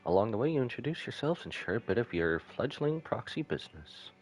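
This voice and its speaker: A man's voice: mid-range voice, big pitch swings, a little fast, slight background noise. A man live streaming a video game, switching between jokey casual reactions and reading the game's text aloud. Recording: game music underneath. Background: game music.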